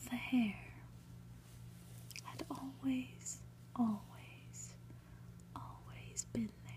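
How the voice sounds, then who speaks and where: A woman reading a story aloud as ASMR in a soft, breathy whisper, with a hushed, gentle, deliberate delivery. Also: on the high side, fairly even intonation. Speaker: host reading a graphic novel aloud.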